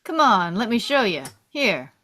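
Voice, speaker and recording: A woman's voice: on the high side, big pitch swings, unhurried, pushed and bright, full character voice. Woman reading a brash wounded soldier. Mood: coaching. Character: brash, bossy wounded American soldier man in army hospital. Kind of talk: table read acting.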